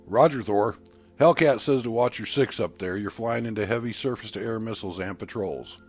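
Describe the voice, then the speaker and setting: A brisk, businesslike tower controller , acted by a male narrator, speaks in clipped official radio phrases. The voice is fairly low, in an audiobook thriller with music behind it. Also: close to an everyday voice. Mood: brisk, alert.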